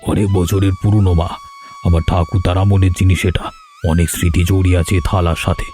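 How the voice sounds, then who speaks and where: An actor voicing a kind, generous older village gentleman in a cartoon, speaking in a gentle, fatherly way. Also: sits low, huge pitch swings, a little fast. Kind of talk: cartoon voice acting.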